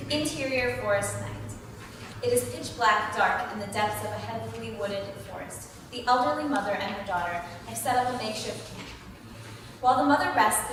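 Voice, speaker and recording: A woman narrator with a mid-range voice reads out stage directions at a table read. She sounds far from the mic in a very echoey hall, with noticeable noise behind her. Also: mid-range voice. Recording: very echoey hall, distant, noisy.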